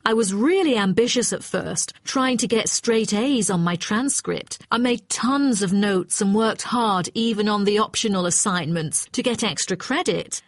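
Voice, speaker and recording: A woman's voice: mid-range voice, measured pace, few pauses, dry recording. Woman acting as conscientious female student, dry recording. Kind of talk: scripted exam dialogue.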